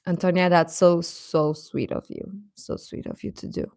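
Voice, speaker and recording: A woman hosting a casual livestream, chatting to viewers in a gentle, encouraging, affectionate way. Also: lowish voice, fairly even intonation, moderate pace, no room sound, clean studio sound. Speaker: livestream host.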